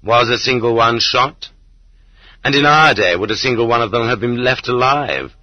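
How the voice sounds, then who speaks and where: A man reading a literary audiobook in an expressive, rhetorical manner. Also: medium pitch, normal speed, no room sound. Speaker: audiobook narrator. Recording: slightly dull audiobook transfer from cassette.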